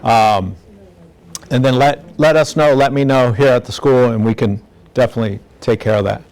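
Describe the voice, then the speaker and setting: A man's voice: medium pitch, normal speed, a little mellow, clear, resonant tone. A middle-aged man giving an informal, direct community talk to parents as a law enforcement speaker. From National City, San Diego area, United States. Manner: plainspoken, direct, acts out imagined dialogue.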